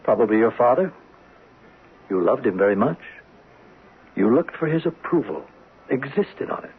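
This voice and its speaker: Husky, probing psychiatrist. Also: male voice, medium pitch, expressive, normal speed. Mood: frank, explaining. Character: frank, probing male psychiatrist, calmly authoritative. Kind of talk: 1970s radio drama acting. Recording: thin narrow-band vintage radio broadcast.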